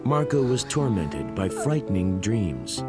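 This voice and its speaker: A man's voice: sits low, sing-song, unhurried. A man narrating a scripted children's story. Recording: prominent music behind the voice.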